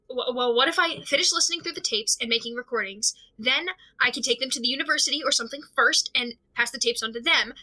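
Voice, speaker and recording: Audio drama lead: anxious amateur investigator , played by a woman, higher voice with a tense, sharp edge, halting and stammering monologue. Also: heavily acted, rough recording. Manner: halting, stammering, rambling, fretful. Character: anxious, overwhelmed amateur investigator talking through a dilemma alone.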